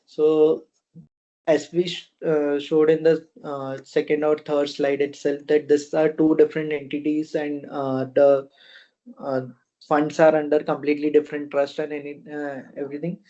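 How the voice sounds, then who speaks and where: A man with an Indian accent giving unscripted answers as a company officer on a conference call, phrasing things haltingly. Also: a little high, completely flat delivery, dark tone. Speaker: fund company officer answering investor questions. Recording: dull, lo-fi conference call audio.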